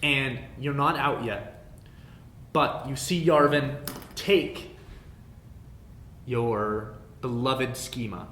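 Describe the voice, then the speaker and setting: A man narrating a casual tabletop game as game master in a halting, conversational way. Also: a bit bright. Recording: roomy echo, rumbling background noise.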